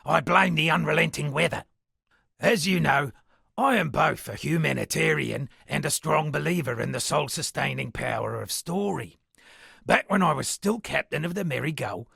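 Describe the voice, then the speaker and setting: Gravelly, theatrical shipwrecked sea captain. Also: male voice, on the high side, lively intonation, normal speed, fairly bright tone, dry recording, studio quality, exaggerated voice. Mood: wistful, wry. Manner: florid, dramatic, alliterative declaiming. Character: eccentric male shipwrecked sea captain and pompous amateur poet. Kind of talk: theatrical video game character dialogue.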